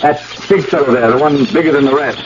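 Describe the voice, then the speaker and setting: A man playing an intense, earnest research scientist and devoted lover in a 1970s radio drama, speaking in a deliberate, lecturing manner. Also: fairly high pitch, lightly acted. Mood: alarmed. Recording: muffled, very noisy old radio broadcast.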